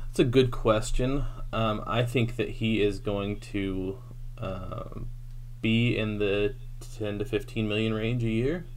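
A man's voice: on the low side, fairly even intonation, slow, slight background noise. A man co-hosting a casual basketball podcast, steadily reeling off stats with frequent ums. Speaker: podcast co-host. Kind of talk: casual sports talk.

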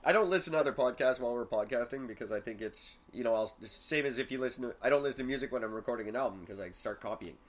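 A man's voice: medium pitch, very fast, hardly any pauses. A young man chatting casually on a podcast, brash and self-mocking, swearing freely. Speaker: podcast co-host.

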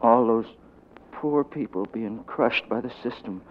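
A man's voice: mid-range voice, unhurried, lightly acted. Man acting a weary fugitive anarchist, thin old-radio sound. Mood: anguished fervor. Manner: earnest, impassioned rambling. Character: escaped condemned man, weary, rambling idealistic anarchist. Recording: muffled, phone-like 1940s radio recording.

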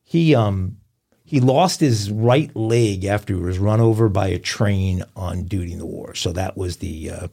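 A man's voice: low voice, a little slow, vocal fry, warm tone. A man co-hosting a crime podcast, talking through a story with hesitant fillers. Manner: hesitant, many fillers while explaining. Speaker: podcast co-host. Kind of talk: casual news chat.

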